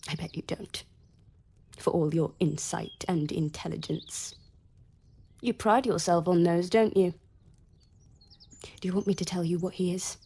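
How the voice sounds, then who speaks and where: A bitter young gentlewoman ruined by love, with a lowish, husky and mellow voice. She is sardonic and intense in the manner of radio drama, haughty on the surface and wounded underneath. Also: unhurried, pauses often. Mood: bitter, challenging. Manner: sardonic, intense, swinging into hushed whispers.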